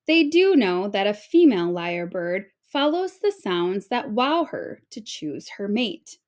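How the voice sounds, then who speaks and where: A woman reading an informational children's book aloud like a teacher, with expressive, clearly phrased delivery. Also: mid-range voice, unhurried.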